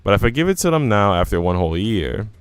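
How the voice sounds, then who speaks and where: A man's voice: fairly low pitch, sing-song, quick pace, clear, resonant tone, no room sound, faint music behind. A man reading a visual novel aloud as a let's player, acting out narration and dialogue and dropping in his own asides. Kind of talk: expressive read-aloud of story narration and dialogue.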